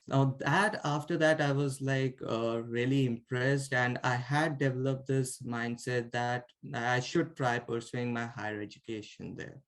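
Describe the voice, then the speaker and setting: A young man from India, a bachelor's student, giving an informal talk, with frequent "like" fillers and restarts. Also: mid-range voice. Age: young adult. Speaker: student speaker. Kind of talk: informal presentation.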